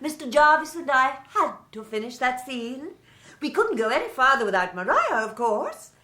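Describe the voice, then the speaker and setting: A woman's voice: high voice, big pitch swings, moderate pace, sharp tone, roomy sound, full character voice. A woman playing a genteel, commanding theatre leading lady in a period radio drama. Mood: gracious. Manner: genteel, theatrical, politely insistent.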